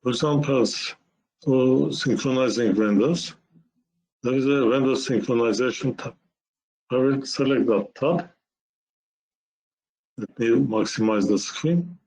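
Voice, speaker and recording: Slow-paced man demonstrating software. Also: mid-range voice, poor audio. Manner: halting, step-by-step explaining in short phrases. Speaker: software presenter.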